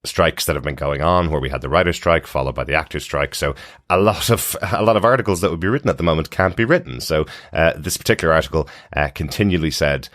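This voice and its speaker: A male podcast host with an extremely low voice, recorded close and dry. He talks casually through entertainment news, explaining things in a measured way with frequent hesitant pauses. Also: fairly bright tone, no room sound.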